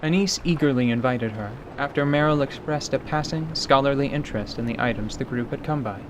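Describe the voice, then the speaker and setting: A man's voice: mid-range voice, a little fast, background noise. A man narrating a scripted fantasy story as a radio theatre narrator. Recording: steady rain ambience behind voice. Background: rain ambience.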